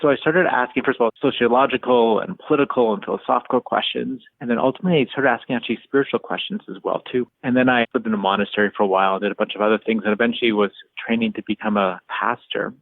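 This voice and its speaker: Fast-talking man with a gravelly voice. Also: mid-range voice, no room sound, narrow, tinny audio. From Canada. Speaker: lead organizer, interview guest. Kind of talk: conversational interview answers.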